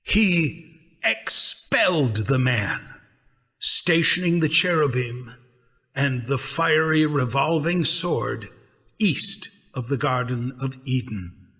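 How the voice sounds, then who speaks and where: A man giving a dramatic scripture narration in a measured, deliberate manner as the reader. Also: mid-range voice, lively intonation, pushed and bright, long reverb tail.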